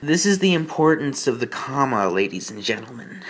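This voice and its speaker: A man's voice: medium pitch, big pitch swings, normal speed. A man hosting a reading show with sarcastic, rambling commentary in an exasperated, self-deprecating manner. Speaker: host of a bad fan fiction reading show.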